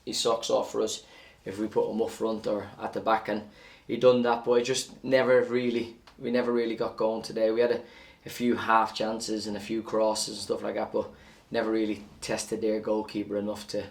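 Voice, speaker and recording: A man, a football coach giving a measured, plain-spoken post-match interview. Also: on the low side, flat delivery, creaky, far from the mic.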